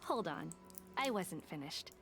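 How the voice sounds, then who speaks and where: A woman playing a shrewd, businesslike young detective with a brisk, transactional manner in an anime game. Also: on the low side, very animated, fairly bright tone, heavily acted. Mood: smug. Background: game music.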